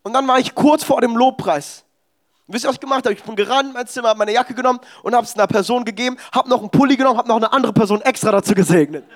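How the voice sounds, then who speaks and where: A man's voice: extremely high pitch, very fast. A young man preaching a sermon in a church service, exhorting the congregation with emphatic, personal testimony.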